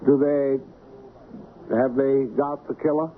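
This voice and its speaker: An actor playing a guarded, genteel shipping boss in an old radio mystery, formal, deliberate and halting. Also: male voice, medium pitch, level tone, lightly acted. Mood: polite, guarded. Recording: narrow, noisy old radio broadcast.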